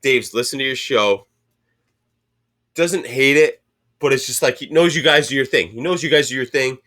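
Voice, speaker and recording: A man in his thirties hosts a casual podcast with loud, hyped energy. He swears freely and pitches sponsors like a barker, at an ordinary pitch. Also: moderate pace. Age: 30s. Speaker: podcast host. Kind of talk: casual chat.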